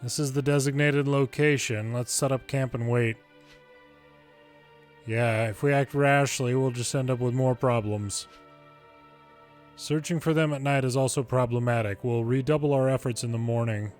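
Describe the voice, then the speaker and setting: A man's voice: mid-range voice, steady tone, moderate pace, soft background music. A man reading a video game's story dialogue aloud in English, as a longplay narrator.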